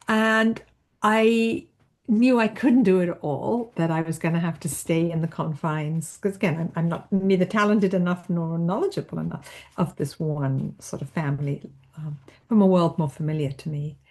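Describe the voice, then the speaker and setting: A woman's voice: lowish voice, darkish tone. A British woman novelist being interviewed, speaking thoughtfully and self-deprecatingly with frequent restarts, in a British accent. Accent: British English. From Britain. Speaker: novelist guest. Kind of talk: interview conversation.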